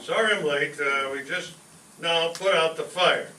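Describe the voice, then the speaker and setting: A man playing a blustering, excitable family father with folksy bad grammar in an old-time radio comedy. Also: fairly high pitch, measured pace. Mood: sheepish. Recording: roomy, distant stage pickup with faint noise.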